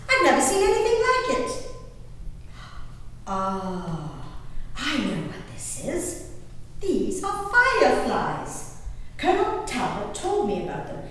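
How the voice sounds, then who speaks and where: A woman performing a genteel, impassioned colonial-era lady diarist on stage, declaiming her journal with deliberate dramatic pauses. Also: high voice, heavily acted. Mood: wonder, excitement. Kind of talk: theatrical dramatic reading of historical diaries. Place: live stage performance in a reverberant hall. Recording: very echoey hall from far off, noisy.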